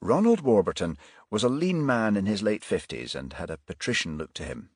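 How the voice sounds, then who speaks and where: Casting: male audiobook narrator for crime fiction, lowish pitch, dry studio-like sound. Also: sing-song, fairly quick, breathy edge, no room sound. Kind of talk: scripted crime thriller narration.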